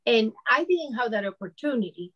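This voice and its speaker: A woman's voice: on the high side, big pitch swings, normal speed, breathy edge, muffled recording. A woman in her fifties from Venezuela with a Venezuelan Spanish accent, speaking conversationally as a panelist about personal experience, often saying "you know". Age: 50s. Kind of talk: personal account on a discussion panel.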